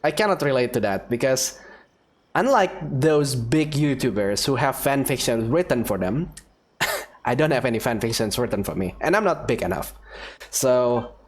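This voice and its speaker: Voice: medium pitch, expressive, moderate pace. A game streamer reading game text aloud expressively, with casual commentary in between. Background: faint music.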